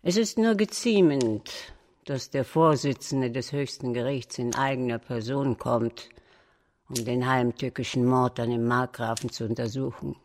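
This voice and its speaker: Slow, creaky-voiced haughty noble widow. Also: female voice, very deep voice, a little mellow. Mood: haughty, cold. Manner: stately, formal, measured delivery. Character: thin, rigid, entranced aristocratic widow, haughty and formal. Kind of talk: German radio play acting.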